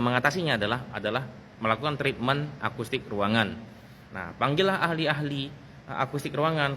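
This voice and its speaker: A man's voice: medium pitch, fairly bright tone. A man talks in a mid-range voice, explaining things casually like a video host. He often repeats his phrases to drive a point home. There is some background noise around his voice. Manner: repeats phrases for emphasis, conversational. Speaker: sound technician and video host. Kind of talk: casual explanatory vlog talk.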